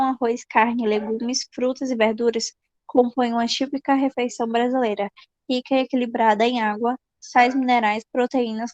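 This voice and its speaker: A woman's voice: a little high, no room sound, muffled recording. Brazilian female student reading aloud on a video call, dry close sound, careful, stumbles on long words. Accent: Brazilian Portuguese.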